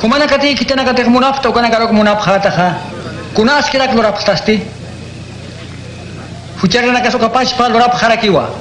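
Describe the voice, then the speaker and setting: A steady preacher voice, kinda high, echoey room with faint hiss. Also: male voice, fairly quick, long pauses. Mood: teaching. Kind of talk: radio drama acting.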